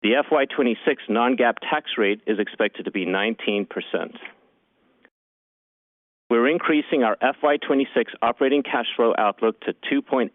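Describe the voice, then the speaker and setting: Low-voiced man reading formal financial remarks, phone-like. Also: dry recording. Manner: formal, measured delivery of figures. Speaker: company finance executive. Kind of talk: scripted earnings call remarks. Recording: narrowband conference phone line.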